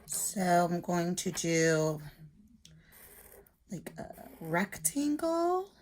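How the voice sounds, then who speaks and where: A woman's voice: fairly low pitch, sing-song, extremely slow, a little mellow. A woman teacher reading aloud and explaining a simple lesson to young children step by step. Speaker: elementary school teacher.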